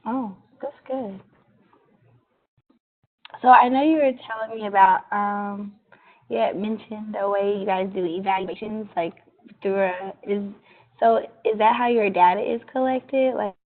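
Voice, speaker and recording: A woman's voice: mid-range voice, unhurried. A woman conducting a casual research interview by phone, informal and agreeable as she listens and asks questions. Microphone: phone line. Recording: tinny narrow-band phone line.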